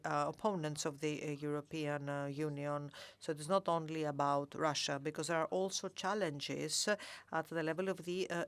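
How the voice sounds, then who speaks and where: A woman working as a conference interpreter, rendering a Greek speech into English in real time with frequent fillers and halting restarts. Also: deep voice, no echo.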